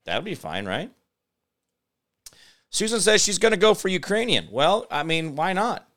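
Clear-voiced American man, rambling talk show host. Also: high voice, sing-song, fairly bright tone. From United States. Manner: halting, sardonic, digressive. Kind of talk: rambling off-the-cuff political commentary.

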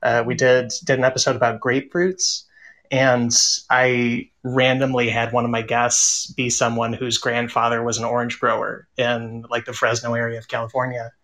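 A man's voice: medium pitch, completely flat delivery, moderate pace, fairly bright tone, poor audio. A man speaking casually and earnestly as a podcaster in a panel discussion.

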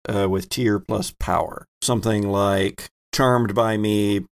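Creaky-voiced man running a tabletop game. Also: lowish voice, fairly even intonation, slow pace. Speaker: game master. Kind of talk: improvised tabletop game narration.

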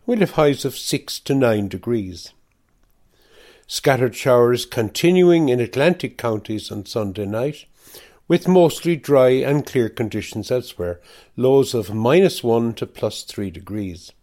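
A man's voice: medium pitch, lively intonation, a little slow, darkish tone. A man reading a scripted weather forecast on community radio.

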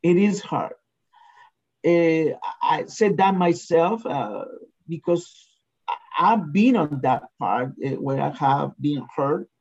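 A man speaks earnestly and reflectively, sharing his own view at a slightly raised pitch. The audio is dull and lo-fi, as if recorded at home. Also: animated, slow pace, a little mellow, breathy edge. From Chile. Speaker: discussion participant. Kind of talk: sharing a personal opinion.

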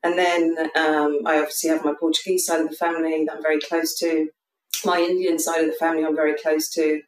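A woman in her thirties with a London accent speaks hesitantly, picking her words with plenty of ums and soft restarts. She sounds far from the microphone, and her voice rings in an echoey room. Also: low voice, level tone, quick pace. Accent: London English. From England. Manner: hesitant, thoughtful, many fillers. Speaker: guest. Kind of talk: casual conversation. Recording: distant mic in an echoey room.

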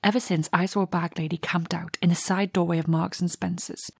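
An actress playing a restless, curious young woman in an audio drama monologue, confiding and wry, with a hushed, husky voice. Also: lowish voice, fairly even intonation, fairly quick, no echo, studio quality. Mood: uneasy, reflective.

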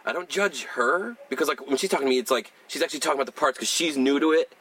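A man's voice: mid-range voice, racing, crowd noise, poor audio. A young man co-hosting a podcast, telling rambling stories in casual chat, swearing and filling his talk with "like" and "dude". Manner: profane, rambling storyteller, lots of "like" and "dude".

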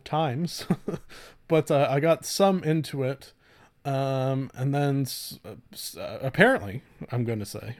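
Male podcast host, thirties, mid-range voice. Loose, halting, self-correcting conversational delivery, casually profane. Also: medium pitch, a little mellow. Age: 30s. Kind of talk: casual solo podcast talk.

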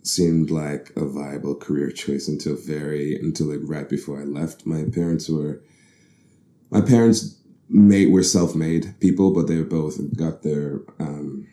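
A man's voice: very deep voice, fairly even intonation, unhurried, mellow. A man in his thirties from the Bahamas, an actor being interviewed, telling his story thoughtfully, correcting himself often and laughing easily. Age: 30s. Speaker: actor guest. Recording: roomy echo.

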